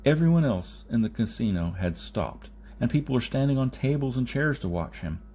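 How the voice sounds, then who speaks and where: A man's voice: mid-range voice, expressive, moderate pace, mellow. A man reading a science fiction story aloud as scripted audiobook narration, now and then stumbling over a phrase. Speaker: audiobook reader. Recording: noticeable background noise.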